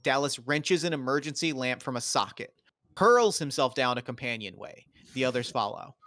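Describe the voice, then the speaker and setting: A man's voice: medium pitch, expressive, moderate pace, pushed and bright, no room sound, studio quality. A man doing scripted narration, reading out the stage directions of a screenplay at a table read.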